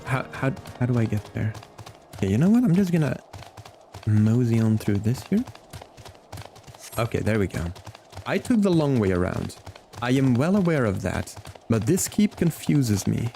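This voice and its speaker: A man in his twenties streaming a video game, chatting casually and relaxed with viewers. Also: mid-range voice, normal speed, creaky, pauses often, soft background music, low-quality recording. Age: 20s. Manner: chatty, relaxed, chats with viewers while playing.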